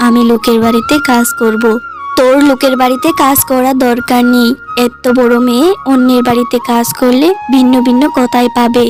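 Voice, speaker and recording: A woman's voice: a little high, level tone, fairly quick, lightly acted. A woman voicing a poor, frail old grandmother who scolds and worries over her granddaughter in a cartoon. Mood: lamenting. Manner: scolding, weary, protective. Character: poor frail old grandmother, loving, bitter at son-in-law. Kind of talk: cartoon voice acting. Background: music.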